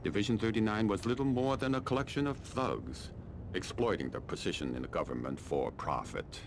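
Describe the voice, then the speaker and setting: An actor playing a stern, calculating Chinese army colonel in a video game, speaking in a formal, deliberate, haughty manner. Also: male voice, fairly low pitch, background noise, lightly acted. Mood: measured, imperious. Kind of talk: scripted video game dialogue.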